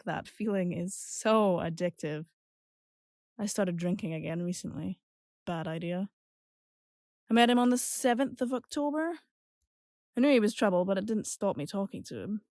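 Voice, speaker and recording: A woman's voice: medium pitch, a little mellow, no room sound, clean studio sound, lightly acted. An actress playing an impulsive, lovestruck young woman, telling her story candidly and conversationally in an audio drama. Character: impulsive, lovestruck young Scottish woman recalling a whirlwind romance. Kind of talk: confessional audio drama narration and dialogue.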